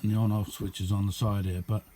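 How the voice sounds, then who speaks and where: A man's voice: lowish voice, flat delivery, fast talker, dark tone, a little hiss or hum. A man giving a casual, unscripted show-and-tell as a hobbyist, rambling along in an understated way. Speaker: hobbyist demonstrating a homemade radio.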